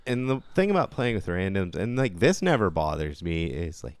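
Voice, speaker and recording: A man's voice: deep voice, sing-song, normal speed, smooth, no room sound. A man co-hosting a podcast in casual gaming banter, swearing freely and speaking in stop-start phrases with trailing asides. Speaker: podcast co-host.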